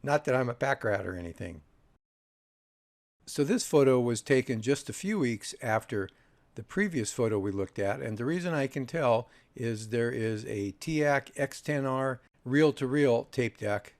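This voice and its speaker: An older man in his sixties narrates a photo slideshow in a measured, reminiscing manner. His voice sits at a middling pitch with a lot of gravelly fry. The recording is very dry, and his delivery carries understated humour. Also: lively intonation, no echo. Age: 60s. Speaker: video host, audio reviewer.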